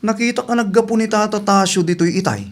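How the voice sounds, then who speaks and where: High-voiced, placating grown son played by a man. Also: fast talker, lightly acted. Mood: teasing. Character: calm, teasing adult son soothing his mother. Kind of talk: radio comedy drama acting.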